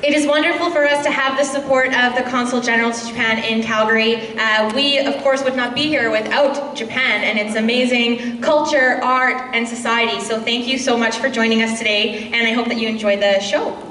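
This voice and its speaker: A woman's voice: on the high side, steady tone, fairly quick, few pauses, bright, forceful tone, a little hiss or hum. A woman emceeing a festival opening ceremony, chatty and upbeat with some ums, in a large indoor hall. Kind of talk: casual stage hosting. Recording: echoey hall, mic heard from far.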